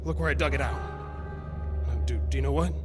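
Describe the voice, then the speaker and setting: An actor playing an excitable, astonished oil-rig worker, urgent and stammering, in a radio horror drama. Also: male voice, medium pitch, brisk, loud music behind the voice, close to an everyday voice. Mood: urgent amazement. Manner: urgent, stammering, repeating himself.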